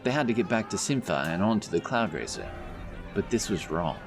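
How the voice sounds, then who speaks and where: A man's voice: lowish voice, sing-song, fairly quick, vocal fry. A man narrating a scripted fantasy audiobook as storyteller. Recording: narration over loud background music. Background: music, outdoor sound effects.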